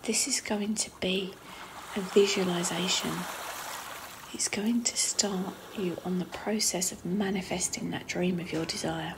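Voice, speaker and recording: A woman leading a guided visualisation meditation in a soft, breathy, half-whispered voice with slow, unhurried phrasing. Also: lowish voice, fairly even intonation. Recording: clearly noisy, trickling water ambience.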